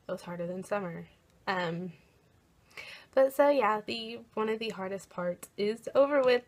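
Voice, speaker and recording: Slow-paced young American woman, casual vlogging. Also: lowish voice, a little mellow. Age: young adult. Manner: halting, pausing to recall. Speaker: college program applicant vlogger. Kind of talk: casual chatty vlog.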